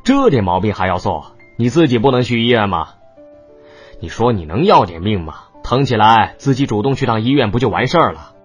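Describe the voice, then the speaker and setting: A man's voice: lowish voice, big pitch swings, a little fast, husky, faint music behind. An actor playing a cocky, mocking young man, a brash older brother in a romance audiobook, with a drawling, needling delivery. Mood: scolding. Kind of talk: audiobook character dialogue.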